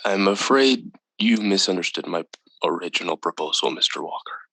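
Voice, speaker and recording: Radio drama alien visitor, male: lowish, forceful, bone-dry sound, formal and quietly menacing. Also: lively intonation, gravelly, dry recording, heavily acted, poor audio. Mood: calm, ominous. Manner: formal, measured, unhurried. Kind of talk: old-time radio drama acting.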